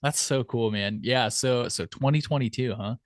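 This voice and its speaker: A man hosting a casual interview, reacting with short easygoing remarks and asking relaxed questions. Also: medium pitch, moderate pace, no room sound, clean studio sound. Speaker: podcast host.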